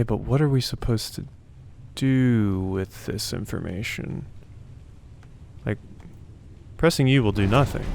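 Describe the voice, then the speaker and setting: A man's voice: medium pitch, clean tone. A man giving casual gameplay commentary, thinking aloud and questioning himself as he goes. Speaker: let's play host.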